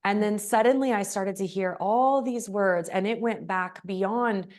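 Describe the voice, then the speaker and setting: A woman hosting an interview, sharing a personal story in a gentle, halting way with frequent pauses. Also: medium pitch. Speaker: podcast host.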